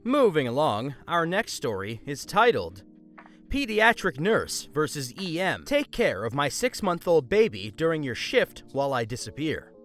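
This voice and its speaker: A man hosting a story channel, reading online stories aloud and adding his own comments in an animated way. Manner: animated, swings pitch for emphasis. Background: faint background music.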